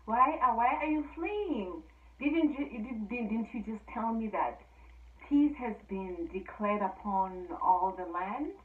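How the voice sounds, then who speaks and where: A clever male rooster from a folk tale, wary and innocent-sounding, read aloud by a woman with a fairly high voice. The recording is tinny like a phone and echoes around a room, with the speaker set back from the mic. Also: animated, slow, recorded from a distance.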